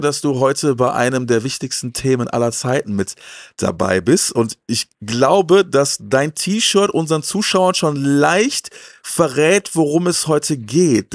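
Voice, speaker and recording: A man's voice: mid-range voice, dry recording, studio quality. A man in his thirties hosting a show, chatting casually into a handheld microphone. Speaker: host of a retro TV show. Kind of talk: casual chat to viewers and guest. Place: indoors, small room full of media shelves.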